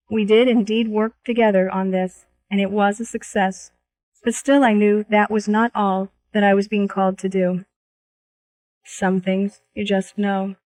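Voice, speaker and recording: A woman's voice: medium pitch, darkish tone, rough recording. A woman reading a scripted, first-person audiobook introduction as its author.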